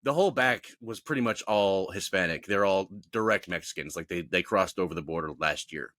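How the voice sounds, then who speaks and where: A man with a bright, forceful voice recorded dry and close. He co-hosts a casual podcast and rants about his pet peeves. He stays opinionated while poking fun at himself. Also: sits low, fairly quick, dry recording. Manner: opinionated, animated, self-mocking. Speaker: podcast co-host. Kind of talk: casual ranting chat.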